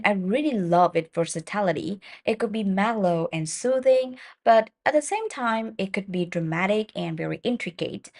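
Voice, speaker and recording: A woman who works as an English speaking tutor, announcing each exam question and then reading model answers in a steady, explanatory way, with occasional fillers and small restarts. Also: medium pitch, gravelly.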